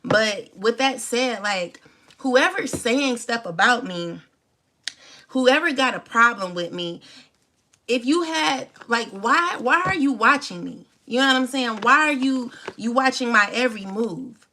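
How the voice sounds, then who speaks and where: She is a woman in her forties with a fairly high, bright voice that has a hard, forceful edge. She talks off the cuff to her audience as a personal monologue. Her delivery is defiant and emphatic, circling back on phrases to make them land. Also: expressive, a little slow. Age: 40s. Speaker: tarot reader and channel host. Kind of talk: unscripted personal monologue to viewers.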